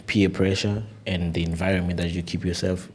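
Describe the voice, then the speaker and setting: A man's voice: deep voice, flat delivery, a little fast, a little mellow, husky. A young man talking earnestly and with animation in a casual podcast conversation, often saying "you know".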